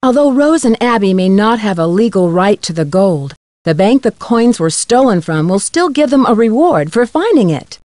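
A woman's voice: mid-range voice, lively intonation, moderate pace, darkish tone, dry recording, lightly acted. An actress playing a curious, upbeat young woman detective in a video game, with an inquisitive, scripted delivery. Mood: cheerful recounting. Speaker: game protagonist.

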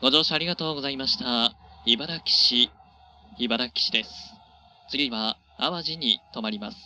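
A man's voice: medium pitch, moderate pace, pushed and bright, faint music behind. A man working as a train conductor, making formal, polite announcements over the train's address system. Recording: dull train PA with faint noise.

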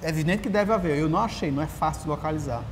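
A Brazilian man in his thirties, a writer and guest, talking in a casual interview with lively storytelling and many restarts, recorded on a lapel mic in a large empty auditorium. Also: a little high, racing. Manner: animated storyteller, frequent restarts. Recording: long hall reverb, faint hiss.

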